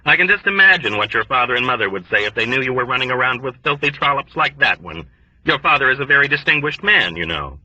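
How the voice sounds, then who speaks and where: A man's voice: mid-range voice, animated, quick pace, bright, forceful tone, no echo, highs cut off. A man voicing a pompous, jovial male officer who laughs patronizingly and never listens. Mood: condescending.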